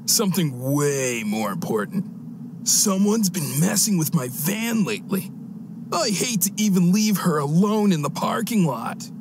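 A man's voice: a little high, very animated, measured pace, background noise. A man voicing a vain, boastful teenage alien slacker in a cartoon game, cocky and melodramatic. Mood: self-important.

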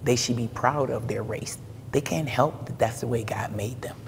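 Very low-voiced, gravelly woman. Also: moderate pace. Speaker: radio station owner. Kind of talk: interview answers. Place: indoor exhibit hall. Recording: hall reverb, faint noise.

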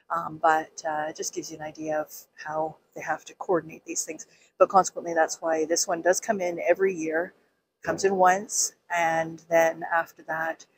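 A woman's voice: on the low side, a little hiss or hum, poor audio. A woman in her fifties chatting casually in a vlog, with many ums and restarts, filming herself outdoors at arm's length with her phone. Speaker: vlogger. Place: outdoors on a city pier.

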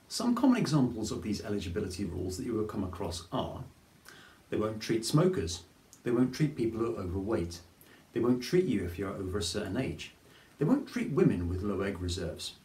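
A man, presenting a scripted explainer to camera in a clear, measured way. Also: on the low side, a little mellow. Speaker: fertility advice presenter. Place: plain studio with grey backdrop. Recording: roomy studio echo.

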